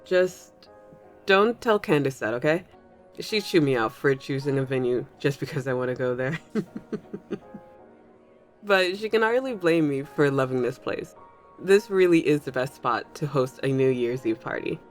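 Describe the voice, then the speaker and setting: A woman's voice: rumbling low, lively intonation, normal speed, faint music behind. A woman voicing a flirtatious thunder fae in an intimate audio roleplay, teasing and coy as she addresses the listener. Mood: playful, flirty. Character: flirtatious thunder fae at a New Year's party, smooth charmer. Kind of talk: ASMR audio roleplay, intimate one-sided dialogue.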